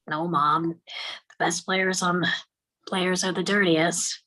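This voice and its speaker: A woman playing a grieving mother who recalls her young son, halting and emotional, in a dramatic table read. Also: on the low side, steady tone, pushed and bright. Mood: wistful, animated. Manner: halting, emotional, drops into whispers.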